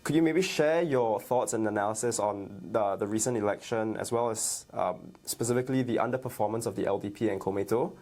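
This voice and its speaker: A young man, a university student, interviewing a professor, quick and casual with many likes and yeahs. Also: on the low side, a little mellow. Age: young adult.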